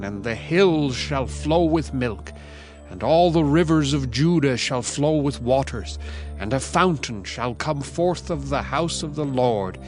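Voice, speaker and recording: Male audio Bible narrator, mid-pitched, solemn and declamatory, with music underneath. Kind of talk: dramatized scripture narration.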